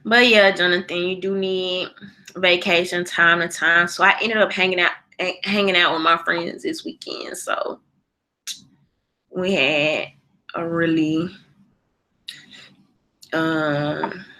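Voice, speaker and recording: An American woman hosts a casual livestream in a bright, forward voice. She takes her time, speaking conversationally and with emphasis as she gives her opinions and answers viewers. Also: on the low side, steady tone, takes it slowly, long pauses. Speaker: live show host.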